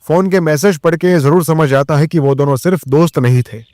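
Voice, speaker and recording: An actor playing a stern, probing police inspector in an audio drama, firm, formal and authoritative. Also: male voice, a little high, brisk, a little mellow, no room sound, clean studio sound, lightly acted.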